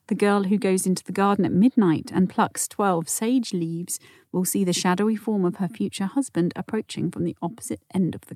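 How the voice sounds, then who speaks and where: Soft-spoken woman podcast host, dry sound. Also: mid-range voice, dry recording. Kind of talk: gentle podcast narration reading listener messages.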